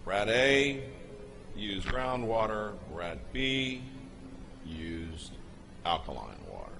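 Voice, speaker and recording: A man giving an unscripted voice-over, explaining footage in a halting way with pauses and restarts. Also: on the low side, sing-song, gravelly, background noise. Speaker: narrator explaining a product video.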